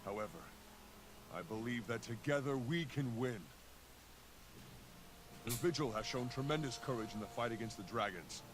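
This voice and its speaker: A man's voice: mid-range voice, normal speed, pauses often, natural voice. An actor playing a resolute male hero in a video game, speaking steadily and persuasively. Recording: game audio with background noise.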